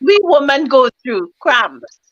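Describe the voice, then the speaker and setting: A woman's voice: high voice, sing-song, slow pace, dry recording, highs cut off. A woman talking hesitantly as a guest in a casual live-stream chat, often searching for her words.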